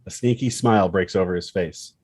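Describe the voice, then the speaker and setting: A man's voice: deep voice, a little fast, darkish tone, husky, dry recording. A man reading stage directions as the narrator of a screenplay table read.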